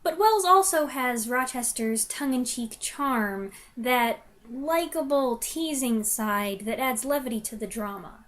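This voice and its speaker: A woman reviewer speaks in a fairly high voice. She comments conversationally and gives her opinions freely, slipping in wry asides as she goes. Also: animated, a little slow, hardly any pauses, a bit bright.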